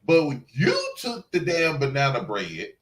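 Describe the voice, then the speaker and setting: A man's voice: medium pitch, very animated, measured pace, a bit bright. A man co-hosting a casual review chat, talking in an emphatic, sassy, salty-tongued way. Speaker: review show co-host.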